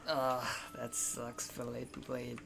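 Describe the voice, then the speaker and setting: A man's voice: mid-range voice, completely flat delivery, slow, vocal fry. A man giving casual playthrough commentary as a gaming streamer. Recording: voice under loud game music. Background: loud game music.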